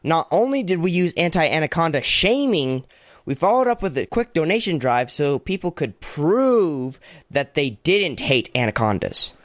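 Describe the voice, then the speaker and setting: An actor playing an eager, flattering campaign staffer in a comedy radio play. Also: male voice, on the high side, moderate pace, no echo, clean studio sound. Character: eager, flattering male campaign staffer, loyal yes-man. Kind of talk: comedy radio theater acting.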